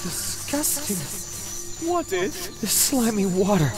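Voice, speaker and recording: A woman's voice: medium pitch, very animated, slow pace, soft background music. An actress playing a disgusted, impatient woman intruder in a fantasy audio drama, clipped and exasperated.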